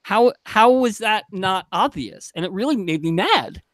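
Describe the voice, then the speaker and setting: Guy with a pretty high voice, dry close sound, chatting on a podcast panel, emphatic and informal. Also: animated, measured pace, fairly bright tone, no echo. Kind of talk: casual panel discussion, telling anecdotes.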